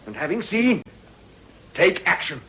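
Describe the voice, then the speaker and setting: A man's voice: high-pitched, big pitch swings, measured pace, vocal fry, a bit bright, full character voice. A man playing a fervent moral crusader orating in Parliament in a radio drama, emphatic and rhetorical, with an English accent. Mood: fervent, righteous.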